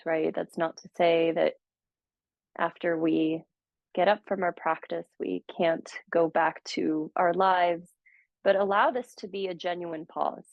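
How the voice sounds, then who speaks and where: Gentle low gravelly woman guiding meditation. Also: no echo. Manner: slow, gentle, soothing guidance with long pauses. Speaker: meditation teacher. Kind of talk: guided meditation. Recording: dull, muffled audio.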